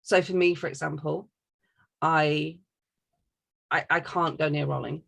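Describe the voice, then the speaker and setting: A woman's voice: low voice, steady tone, measured pace, vocal fry, a bit bright. A woman talking casually and candidly, giving her opinions emphatically and swearing freely.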